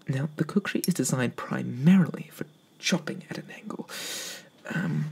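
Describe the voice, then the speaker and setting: A man speaking softly in a breathy whisper close to the microphone, slow and courteous, roleplaying an eager weapons merchant for ASMR. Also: on the high side. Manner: slow, hesitant, courteous sales patter. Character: polite, eager male weapons merchant obsessed with swords.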